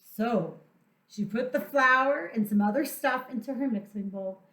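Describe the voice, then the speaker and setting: A woman reading a storybook aloud to young children as a storytime teacher, theatrical and deliberate, drawing out words for emphasis. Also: fairly high pitch, fairly bright tone. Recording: echoey room sound.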